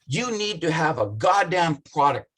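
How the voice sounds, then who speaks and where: Man, rough husky voice, fairly high-pitched, passionate, blunt, profane. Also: very animated, normal speed, a bit bright. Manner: passionate, blunt, swears often. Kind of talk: casual business discussion.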